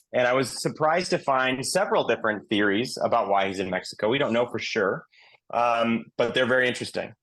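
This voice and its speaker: Male podcast panelist, medium pitch, explaining background in an informal conversational style. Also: fairly bright tone.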